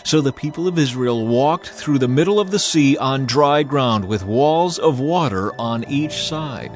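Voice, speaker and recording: Guy narrating dramatized bible audiobook, mid pitch, music underneath. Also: expressive, measured pace. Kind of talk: dramatized scripted scripture narration.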